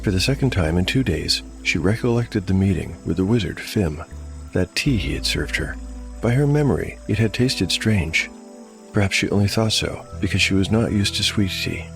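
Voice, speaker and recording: Solo game master and narrator: man, very low gravelly voice, dramatic storytelling, music underneath. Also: animated, moderate pace. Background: prominent music.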